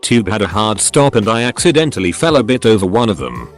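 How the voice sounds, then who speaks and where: A man narrating Reddit posts aloud, reading the stories and their edit notes in an even, uninvolved way. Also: on the low side, fast, faint music behind.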